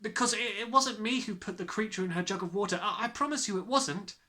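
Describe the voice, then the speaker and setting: A man voicing an earnest, excitable little schoolgirl in a storybook, eager and insistent. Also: sits high, brisk, pitched above the performer's own voice. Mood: anxious.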